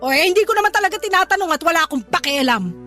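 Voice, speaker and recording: Shrill, scornful older mother-in-law. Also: expressive, rapid-fire, faint music behind, full character voice. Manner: sharp, sarcastic, scolding. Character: scornful, nagging older mother-in-law who belittles her daughter's partner. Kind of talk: radio drama acting.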